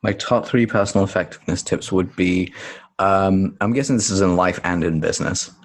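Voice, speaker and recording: A man from Tanzania, talking as a podcast guest in a relaxed, rambling way that often corrects itself. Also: sits low, brisk. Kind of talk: podcast interview conversation.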